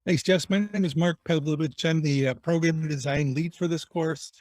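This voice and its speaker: A man's voice: a little high, fairly even intonation, normal speed, a little mellow, no echo. Nasal Chicago man, dry close sound. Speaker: program design lead. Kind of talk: webinar introduction.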